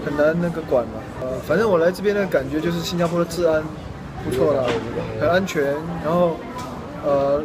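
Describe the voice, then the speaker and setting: A man answers casually in a slightly high voice. A loud crowd surrounds him. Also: fairly quick, poor audio. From Hangzhou, China. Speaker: tourist interviewee. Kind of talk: casual street interview. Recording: loud crowded public place.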